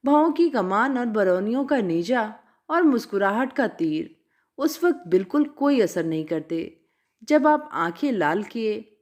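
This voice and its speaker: An Indian-accented woman narrates a classic short story. Her voice sits fairly high and moves through a wide, animated range of intonation. She reads in an expressive, audiobook-like storytelling style.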